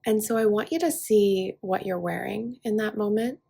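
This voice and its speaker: A woman guiding a relaxation meditation in a soft, breathy voice, soothing and unhurried, leaving long pauses between short phrases. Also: medium pitch. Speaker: yoga nidra guide. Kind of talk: guided relaxation meditation, speaking softly to listener.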